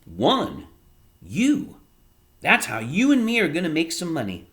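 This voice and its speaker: A man voicing a distraught poor young boy who shouts in rough slang. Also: fairly high pitch, wildly swooping pitch, unhurried, voice pushed up, heavily acted. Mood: eager.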